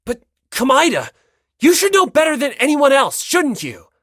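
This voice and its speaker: A reader acting an agitated teenage boy, high and loud. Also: wildly swooping pitch, moderate pace, dry recording, clean studio sound, heavily acted. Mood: agitated, bitter. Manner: forceful, accusing outbursts. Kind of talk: dramatic audiobook character reading.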